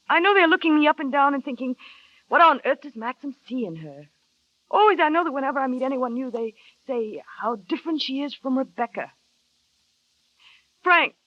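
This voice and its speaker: An actress playing a shy, insecure young wife who narrates wistfully and asks hesitant, earnest questions, on an old radio drama. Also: female voice, high voice, expressive, moderate pace, dry recording. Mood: anxious. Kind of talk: 1940s radio acting. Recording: dry, dull vintage radio recording.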